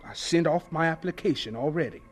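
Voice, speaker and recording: An actor playing an earnest, polite young American student in a radio drama, deferential and hesitant yet insistent. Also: male voice, a little high, fairly quick, soft background music, natural voice. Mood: earnest, determined. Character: earnest, polite, determined young male student running laundry.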